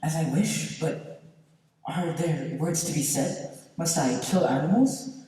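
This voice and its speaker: A woman's voice: sits low, slow pace, dark tone. A woman playing an aging, lovesick, gullible man in a stage play, theatrical and questioning. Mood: pleading. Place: large indoor theater stage, distant camera. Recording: echoey theater stage from far off.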